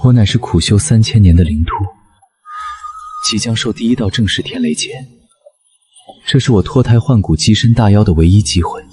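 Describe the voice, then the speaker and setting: A man voicing a haughty, prickly rabbit spirit who serves as chancellor in an animated period drama. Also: on the low side, moderate pace, long pauses, husky. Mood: proud. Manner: haughty, indignant. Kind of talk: Chinese animated web drama voice acting.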